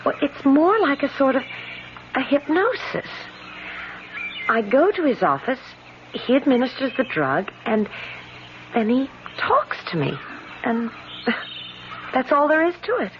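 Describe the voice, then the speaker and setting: A high, earnest young female voice in a radio drama. She is anxious and halting, often repeating her words. The recording is dull, with faint noise behind it. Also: very animated. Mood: nervous, evasive. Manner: hesitant, halting speech with nervous repetitions.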